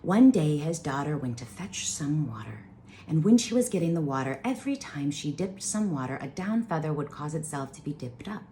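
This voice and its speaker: Low-pitched woman reading stories aloud. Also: big pitch swings, normal speed, mellow, a little hiss or hum. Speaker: storyteller reading transcribed legends aloud. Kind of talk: reading aloud with informal asides.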